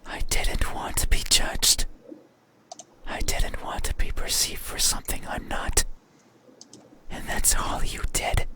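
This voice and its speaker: Casting: performer as an otherworldly horror-game entity, whispered throughout, very unhurried, menacing with a sorrowful edge. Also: close to an everyday voice. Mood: ominous, insistent. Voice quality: breathy unvoiced whisper. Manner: slow, halting, insistent. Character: eerie mysterious being demanding to be seen, menacing and sorrowful. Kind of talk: horror visual novel voice acting.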